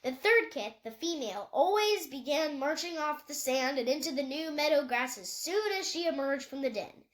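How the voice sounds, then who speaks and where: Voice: high-pitched, expressive, normal speed, hardly any pauses, a bit bright. A young reader, a child or young teen, narrating a story read aloud from a book. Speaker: audiobook reader.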